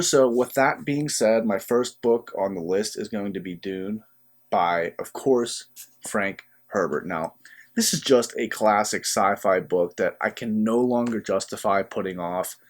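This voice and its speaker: A young man hosting a book channel, talking casually to camera while sick and a bit sniffly, chatty and easygoing with frequent filler pauses. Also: on the low side. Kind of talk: casual vlog talk to camera.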